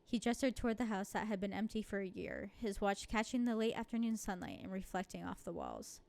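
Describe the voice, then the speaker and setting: A woman's voice: mid-range voice, very animated, brisk, creaky, darkish tone. A woman playing a story game, reading the narration aloud and adding casual, giggly asides. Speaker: video game streamer.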